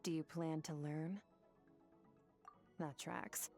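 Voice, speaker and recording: A woman's voice: sits low, slow. A woman voicing a cool, distant female mentor who speaks in a curt, composed way. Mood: aloof. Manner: cold, curt and composed, even delivery. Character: cool aloof swordswoman mentor from the abyss. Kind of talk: video game character dialogue. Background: game music.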